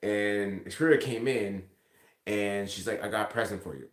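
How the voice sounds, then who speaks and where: A man's voice: fairly low pitch, moderate pace. The sound is echoey, like a phone in a bare indoor room. A man talks casually to his livestream viewers. His delivery rambles and is thick with profanity. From Ontario, Canada. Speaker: live streamer. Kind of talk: casual livestream chat, replying to comments.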